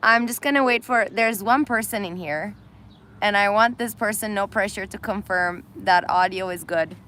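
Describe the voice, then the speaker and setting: A woman's voice: mid-range voice, normal speed, fairly bright tone. A woman hosting a casual live stream, laughing often and losing her voice. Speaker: host of an Instagram live. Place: indoors in Armenia.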